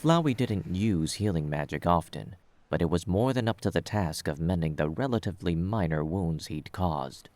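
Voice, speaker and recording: A man's voice: low voice, animated, moderate pace, darkish tone, slight background noise. A man reading scripted third-person story narration as the narrator of an audio drama.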